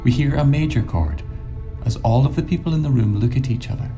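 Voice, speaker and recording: A man narrating a scripted surreal radio play in a formal, measured storytelling manner. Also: mid-range voice, animated, dark tone. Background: music score.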